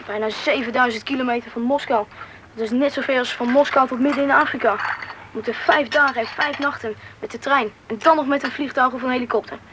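An actor with a woman's register playing an eager, curious schoolboy in a radio play, chatty and husky. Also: high voice, fairly even intonation, a little fast, noisy background, lightly acted. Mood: wistful, chatty. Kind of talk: radio play acting with first-person narration.

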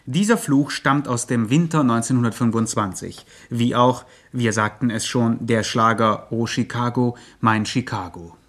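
Ironically formal man, mid-pitched narrator. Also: measured pace, hardly any pauses. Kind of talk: scripted radio satire narration.

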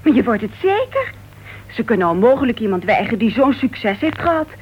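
A husky, animated wife who presses and needles her husband. Her voice sits fairly high, in a dull old radio drama recording. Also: fairly quick. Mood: eager, coaxing. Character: nagging, socially ambitious wife of a novelist. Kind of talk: radio play dialogue.

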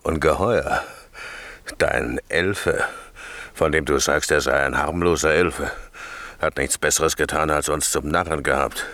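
Very deep, grumbling rogue. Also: male voice, a little slow, pushed and bright, no echo, exaggerated voice. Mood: aggrieved. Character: grumbling, aggrieved drunken rogue. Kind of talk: theatrical German radio play dialogue.

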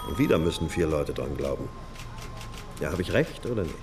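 Deep, brooding, animated police detective. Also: male voice, a little fast, over music. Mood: brooding, insistent. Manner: questioning, insistent, thinking aloud. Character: brooding, intuitive male police detective reasoning aloud. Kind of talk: naturalistic German radio crime drama acting.

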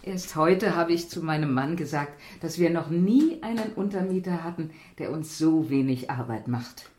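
A woman's voice: low voice, normal speed, a little mellow, close to an everyday voice. An actress playing an anxious, devoted married landlady in a radio drama, deferential and earnest. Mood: deferential concern.

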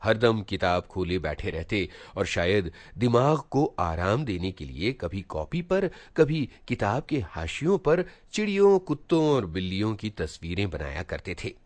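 A man reading a literary short story aloud as an expressive narrator. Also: on the low side, measured pace, hardly any pauses, dry recording. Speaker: narrator, audiobook reader.

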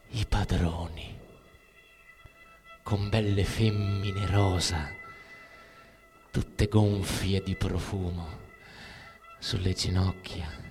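A man's voice: lowish voice, exaggerated voice. An actor playing a bitter, cynical everyman in a declamatory theatrical monologue with music. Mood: bitter, low. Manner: declamatory, swinging from muttering to shouting. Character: bitter, ranting, cynical everyman raging at the bosses. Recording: long reverberant hall, music behind.

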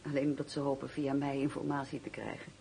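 A woman's voice: extremely low pitch, little pitch movement, fast, vocal fry, mellow, slight background noise. An actress in a radio drama playing a guarded, maligned woman, terse, weary and pointed. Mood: wry, bitter. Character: guarded, wry woman, maligned lover of a prisoner.

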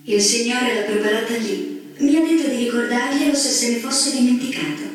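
A woman playing a formal, dutiful female computer assistant in a stage drama, speaking in polite, measured announcements. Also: a little high, recorded from a distance, lightly acted. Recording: echoey stage recording from afar, music behind.

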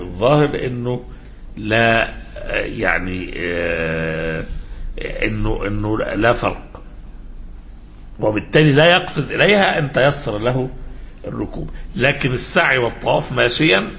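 Egyptian male religious lecturer, mid-pitched gravelly voice, halting question-led teaching, faint background noise. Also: fairly bright tone. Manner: halting, didactic, prompts listeners with questions. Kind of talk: informal religious lecture mixing classical and colloquial speech.

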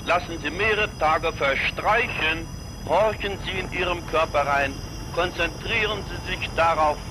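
A man speaking as a healer, giving deliberate, solemn instructions to his listeners. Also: on the high side, noisy background.

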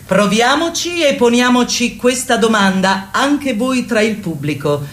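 An actress playing a solemn yet playful leader of a women's workshop in a radio play, talking slowly and suggestively to her group. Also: on the high side, animated. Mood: solemn, guiding. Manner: slow, suggestive, addressing group and audience. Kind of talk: theatrical radio drama performance. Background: music.

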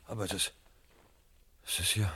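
A man's voice: measured pace, heavy background noise, close to an everyday voice. An actor playing a troubled, self-doubting fireman in a radio drama, brooding and agitated in manner. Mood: shocked. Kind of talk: 1970 German radio drama acting.

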